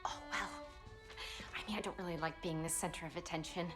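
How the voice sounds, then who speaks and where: A woman's voice: lowish voice, fairly even intonation, fairly quick, loud music behind the voice, close to an everyday voice. An actress playing an earnest, prim young 1920s policewoman who speaks formally. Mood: bashful. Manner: earnest, flustered, formal wording.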